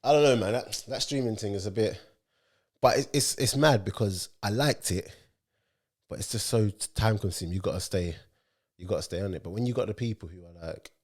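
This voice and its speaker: A young man hosting a football podcast, his voice low and deep. He talks in a laid-back, drawling way, close to the mic. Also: a little fast, a little mellow. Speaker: podcast host. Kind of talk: casual football podcast chat.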